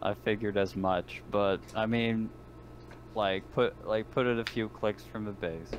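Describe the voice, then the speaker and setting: A man's voice: lowish voice, fairly even intonation, a little slow, a little hiss or hum. A man chatting casually as a player in an online tabletop game, blunt, assertive and sweary. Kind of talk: casual gaming chat, sweary.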